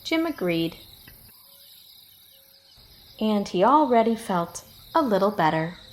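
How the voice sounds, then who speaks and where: Slow, very expressive woman reading aloud. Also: mid-range voice. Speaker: picture book reader. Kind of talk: expressive children's read-aloud.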